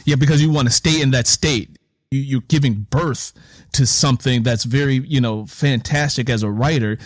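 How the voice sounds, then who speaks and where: A man talking casually as an author in an interview, telling stories in an animated way and repeating his phrases as he goes. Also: medium pitch, moderate pace, no echo. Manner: animated storytelling, repeats phrases and acts out quotes. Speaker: author in conversation about writing.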